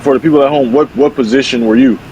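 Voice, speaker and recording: A man's voice: medium pitch, steady tone, fast talker, darkish tone, slight background noise, poor audio. A man co-hosting a sports podcast, eager and rambling, with many restarts. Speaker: podcast co-host. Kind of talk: casual podcast chat.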